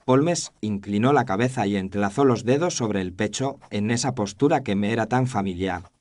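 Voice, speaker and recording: A man's voice: on the low side, animated, normal speed, a little mellow, no room sound, clean studio sound. A man narrating a scripted first-person detective story in a formal, literary storytelling manner.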